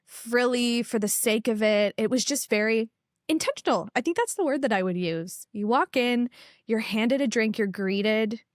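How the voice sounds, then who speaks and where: A woman's voice: on the high side, moderate pace, no room sound, studio quality. A woman hosting a podcast, chatty and gushing with enthusiasm as she interviews her guest. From Canada. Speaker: podcast host.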